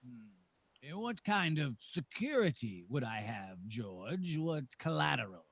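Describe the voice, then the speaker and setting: Man playing gravelly, sneering old banker villain. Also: medium pitch, sing-song, a bit bright, dry recording, heavily acted. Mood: cold contempt. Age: older. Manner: sneering, deliberate, interrogating. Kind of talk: 1940s radio drama acting.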